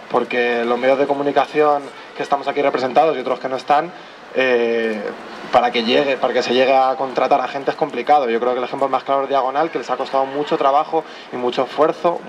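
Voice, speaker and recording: Rich: An earnest young man speaks up at an outdoor neighborhood assembly. His pitch sits in the middle of a man's range. He talks haltingly, restarts his phrases and keeps checking in with the crowd, while city traffic rumbles clearly behind him. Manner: earnest, halting, many restarts and tag questions. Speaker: audience member at a public assembly. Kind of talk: spontaneous public debate contribution. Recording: outdoor recording with clear street noise. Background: traffic and city noise.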